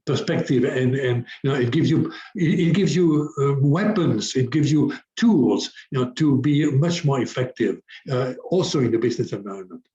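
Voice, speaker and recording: A man's voice: medium pitch, lively intonation, normal speed, few pauses, mellow. A middle-aged man from France, a business coach, talks through an interview with lots of restarts and fillers. His voice sounds roomy and echoey, and the audio is dull and lo-fi, like a remote call. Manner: hesitant, many restarts and fillers. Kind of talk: conversational interview.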